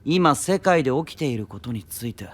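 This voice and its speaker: An actor playing a sly, needling young knight mage who can turn commanding, in a fantasy audio drama. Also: male voice, mid-range voice, lively intonation, moderate pace, natural voice. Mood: cool, pointed. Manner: playful needling that turns forceful and commanding. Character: young male knight mage, sly, taunting, relentless interrogator. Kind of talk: anime-style fantasy audio drama acting.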